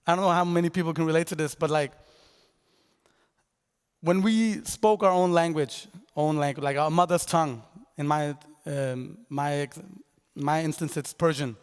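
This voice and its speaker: A young man from Denmark telling a conference audience about his podcast in a loose, rambling way. His voice is smooth and a bit higher than most men's, with a long reverb carrying through the hall. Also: steady tone, normal speed. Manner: casual and rambling, full of fillers and restarts. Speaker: podcast creator presenting his work. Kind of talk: conference talk.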